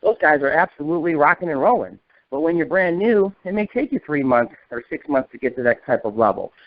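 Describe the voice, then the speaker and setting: A middle-aged American man coaches a sales team over a thin, narrow telephone line. His voice sits a little high for a man, and the sound is dry. He is a folksy fast talker with a motivational, trainer's delivery. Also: expressive, no room sound. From northern United States. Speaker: sales agency leader and trainer. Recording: narrow, tinny conference-call phone line.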